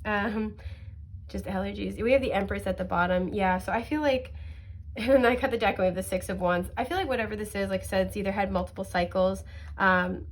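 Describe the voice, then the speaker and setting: A sniffly woman reading tarot cards in a chatty, reassuring way, with many fillers and soft laughs. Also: medium pitch, a little fast. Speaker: tarot card reader. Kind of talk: casual pick-a-card tarot reading.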